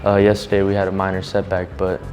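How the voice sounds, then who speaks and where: A teenage male soccer player giving earnest interview answers with filler pauses. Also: sits low, completely flat delivery, a little mellow, faint music behind. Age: teens.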